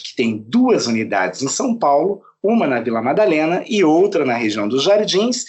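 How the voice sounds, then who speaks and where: A man's voice: a little high, breathy edge. A man hosting a food interview, introducing his guest and asking leading questions.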